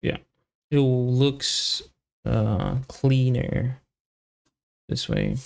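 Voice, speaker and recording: A man doing casual live coding commentary as a developer, thinking aloud and muttering to himself in short, halting fragments. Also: mid-range voice, huge pitch swings, creaky, darkish tone. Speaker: software developer livestreaming.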